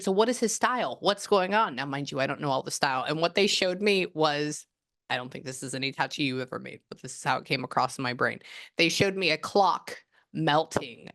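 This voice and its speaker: Low-pitched woman, rambling psychic reading, casual talk. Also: a bit bright. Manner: chatty, rambling, frequent restarts and "like". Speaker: psychic medium. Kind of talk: casual reading on a video call.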